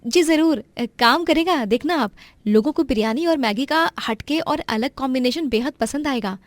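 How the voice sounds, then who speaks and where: A woman's voice: high voice, expressive, moderate pace, dry recording, pitched above the performer's own voice. A woman playing Gayatri, a resourceful young wife. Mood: eager.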